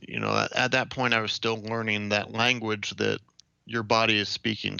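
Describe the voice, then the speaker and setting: A young American man from Ohio giving measured, explanatory answers as an interview guest. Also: lowish voice, vocal fry, pushed and bright, clear, resonant tone, no echo, highs cut off. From Ohio, United States. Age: young adult.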